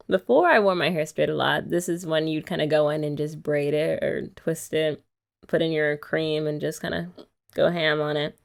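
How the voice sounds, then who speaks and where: Young woman, ASMR girlfriend roleplay, bouncy pitch, slight vocal fry, playful and teasing. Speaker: ASMR creator.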